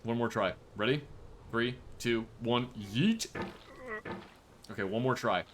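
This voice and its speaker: A man's voice: medium pitch, slow pace, a little hiss or hum. A young man streaming a game live, chatting casually and joking as he plays. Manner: joking, chatty, animated reactions. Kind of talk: casual live-stream chat while gaming.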